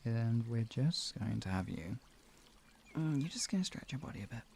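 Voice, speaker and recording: A man with a deep voice hosting a relaxation stream, chatting informally and gently giving guided instructions. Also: expressive, normal speed, creaky, a little hiss or hum. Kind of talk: casual chat moving into guided relaxation and hypnosis instruction.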